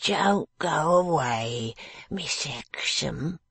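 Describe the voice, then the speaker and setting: A woman voicing a maudlin, timid drunken father in a storybook, speaking thickly and haltingly in a submissive whine. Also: deep voice, animated, a bit bright, no echo, exaggerated voice. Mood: submissive pleading. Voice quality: thick slurred speech, husky.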